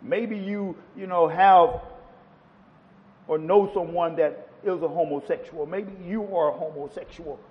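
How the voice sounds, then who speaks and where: A man's voice: on the high side, steady tone, a little slow, in a large hall, muffled recording. A man preaching a sermon to a congregation, quoting scripture with rising and falling emphasis. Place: church.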